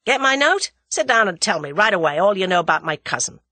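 A woman's voice: mid-range voice, very animated, a little fast, sharp tone, no room sound. A woman voicing a brash, impatient American man in his thirties, blunt and slangy, in a storybook reading.

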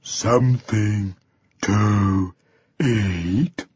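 A man voicing a huge, slow-witted, menacing dragon in a very deep bass, speaking with ponderous deliberation in a storybook reading. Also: a little mellow, husky, dry recording, highs cut off, heavily acted. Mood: slow menace.

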